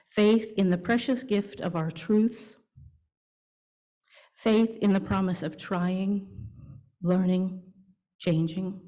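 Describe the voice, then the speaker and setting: Slow, mellow-voiced woman leading worship. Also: lowish voice. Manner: measured, deliberate phrasing with pauses. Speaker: worship leader at a church service. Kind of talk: reading a welcome and announcements to a congregation. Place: church service, speaking to a congregation.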